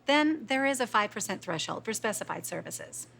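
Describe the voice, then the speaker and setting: A woman playing a glamorous lounge singer who explains with brisk confidence. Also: medium pitch, animated, gravelly, fairly bright tone.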